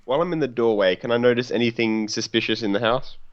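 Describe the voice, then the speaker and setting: A man of medium pitch talks casually as a player in a tabletop game. His voice comes through dull and muffled. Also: quick pace.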